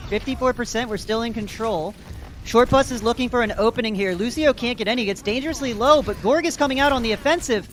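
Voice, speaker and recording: A man with a fairly high voice calls the action of an online esports match, upbeat and quick to get excited. There is a faint hiss under his voice. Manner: energetic, rises to excited shouts in big plays. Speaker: play-by-play caster. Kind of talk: esports commentary.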